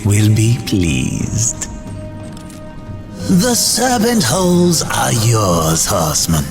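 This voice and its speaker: A swooping, wheedling demon merchant. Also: male voice, lowish voice, huge pitch swings, vocal fry, heavily acted. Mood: gloating. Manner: theatrical, drawn-out wheedling. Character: sly, greedy male demon merchant, wheedling and theatrical. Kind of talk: video game fantasy acting. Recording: dramatic music behind voice.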